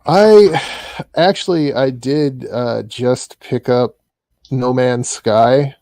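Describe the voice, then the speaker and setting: A man's voice: mid-range voice, dark tone, no echo. A man with an Iowa accent, an interview guest chatting casually, with frequent restarts. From Iowa, US Midwest. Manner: halting, restarts often. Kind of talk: casual chat.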